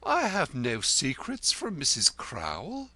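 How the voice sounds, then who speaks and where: A man's voice: sits high, sing-song, measured pace, sharp tone, no echo, pitched above the performer's own voice, heavily acted. A man voicing a vain, flowery poet lodger who talks theatrically and pities himself. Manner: flowery, theatrical, self-pitying. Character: florid vain self-pitying male poet lodger.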